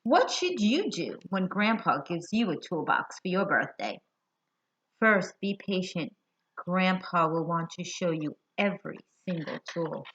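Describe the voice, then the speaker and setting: An American woman reading a picture book aloud to young children, expressive and deliberate, putting questions to her listeners. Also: fairly low pitch, slight background noise. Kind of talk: children's read-aloud storytelling. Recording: dull lo-fi sound.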